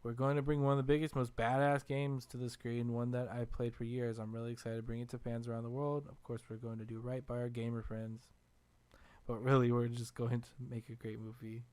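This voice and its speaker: A young man hosting a casual podcast chat about video games, telling stories in an animated, self-deprecating way. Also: mid-range voice, a little fast, clear, resonant tone. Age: young adult. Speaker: podcast host.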